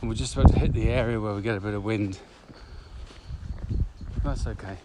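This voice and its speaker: A man's voice: lowish voice, fairly even intonation, a little fast. A man co-hosting a casual podcast while walking in a field, teasing and dry-humored. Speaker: podcast co-host. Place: open grassy field outdoors. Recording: outdoor noise, birds, wind.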